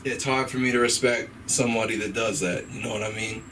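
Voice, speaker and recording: Young man doing halting open-mic stand-up. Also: lowish voice, steady tone, a bit bright, distant mic, a little hiss or hum, rough recording. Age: 20s. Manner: halting, filler-heavy, swears often, laughs at own jokes. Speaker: stand-up comedian. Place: comedy club stage.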